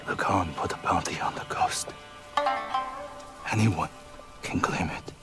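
A bitter ronin leader. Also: male voice, low voice, measured pace, loud music behind the voice. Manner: hard, resentful and intense. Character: bitter young male ronin leader, torn between loyalties. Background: orchestral game score.

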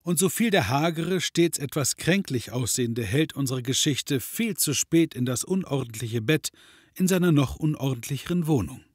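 A man playing a wry, ironic storyteller, narrating a comedic audio drama in a measured, dry manner. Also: medium pitch, expressive, husky, no echo. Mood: dry irony. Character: wry omniscient male storyteller.

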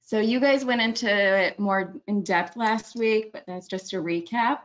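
A woman's voice: mid-range voice, measured pace. A woman walking viewers through a website in a webinar, chatty and correcting herself often. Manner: chatty, self-correcting, many ums. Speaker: webinar presenter. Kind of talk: screen-share webinar walkthrough.

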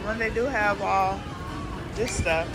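A woman's voice: mid-range voice, takes it slowly. An American woman chatting casually and informally as she films a family vlog on a handheld camera. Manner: informal, colloquial. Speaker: family vlogger, mother. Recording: background music, busy public chatter.

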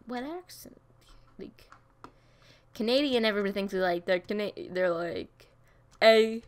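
A woman's voice: mid-range voice, expressive, moderate pace. A young woman chatting casually while playing a game, giggly and rambling, with keyboard typing nearby. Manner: chatty, giggly, jumps into silly voices and snatches of song. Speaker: gaming streamer. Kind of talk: casual gameplay commentary.

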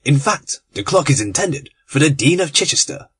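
A man's voice: a little high, very animated, normal speed, fairly bright tone, breathy edge, heavily acted. A man doing a polite young English lord. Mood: earnest. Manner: polite, earnest.

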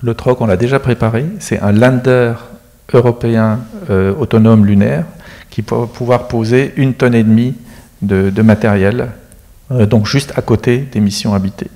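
A man's voice: medium pitch, steady tone, normal speed, mellow, big-room sound. A middle-aged man speaking as an expert guest in a studio interview, explaining technical matters in a plain, matter-of-fact way into a handheld mic. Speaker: space agency expert guest. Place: studio set with screens.

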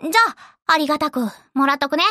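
Cool casual anime game teen girl, high bright voice, offhand. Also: level tone, fast, dry recording, studio quality. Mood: pleased. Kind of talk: Japanese anime game voice acting.

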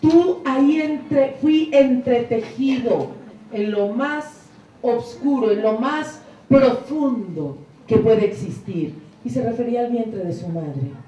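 A middle-aged woman giving an inspirational church talk on a handheld mic, fervent, moving between hushed prayerful passages and soaring emphatic lines. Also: a little high, slow, muffled recording, slight background noise. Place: room with curtained wall, indoors. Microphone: handheld mic, filmed from a distance. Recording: echoey room, camera far off.

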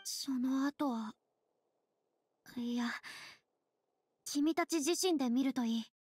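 An actress playing a solemn, thoughtful young woman in a video game, speaking in a measured, dramatic and slightly lofty way. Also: high voice, a little mellow, a little hiss or hum. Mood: pensive, investigating. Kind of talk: Japanese video game voice acting.

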